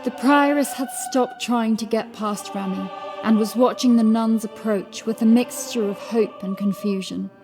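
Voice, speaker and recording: A woman's voice: a little high, normal speed, few pauses, music in the background. A woman reading scripted horror fiction as an audiobook narrator.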